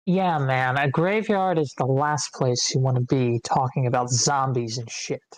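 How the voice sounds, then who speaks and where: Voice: medium pitch, unhurried, no room sound, lightly acted. A performer reading a brash eighteen-year-old male content creator in an amateur screenplay table read. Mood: casual.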